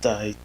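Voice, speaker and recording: A man playing an earnest young father recording a confessional audio diary in a horror drama, speaking haltingly and hesitantly. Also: sharp tone, low-quality recording. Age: young adult. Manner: halting, hesitant, sighing, self-interrupting.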